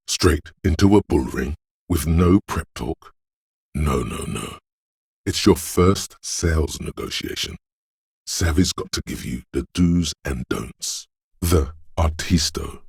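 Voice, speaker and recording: A gravelly, bottomless-voiced slick hustler. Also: male voice, dry recording, studio quality, full character voice. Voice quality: gravelly, very deep. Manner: smooth-talking, theatrical, cocksure. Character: slick, flashy male hustler inner voice coaching sales. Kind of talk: video game voice-over of an inner voice.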